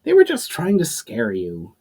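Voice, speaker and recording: A man's voice: mid-range voice, wildly swooping pitch, a little slow, dark tone, husky, full character voice. Man as a self-assured young hunter. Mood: dismissive. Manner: casual, dismissive.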